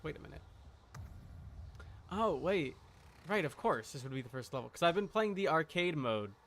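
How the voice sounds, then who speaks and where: A man's voice: fairly high pitch, lively intonation, moderate pace, fairly bright tone, a little hiss or hum. A man giving casual, wry live commentary as he plays a video game, often muttering to himself. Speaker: game streamer.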